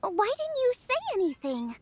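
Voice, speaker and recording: A woman voicing an earnest, excitable young girl in a video game. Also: very high-pitched, moderate pace, no room sound, full character voice. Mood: shocked, upset.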